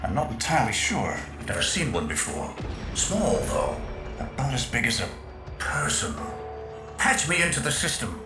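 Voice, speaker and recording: A man's voice: mid-range voice, wildly swooping pitch, normal speed. A man playing a shrewd, wry underworld character briefing an operative in a video game. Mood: curious. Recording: echoing voice over music.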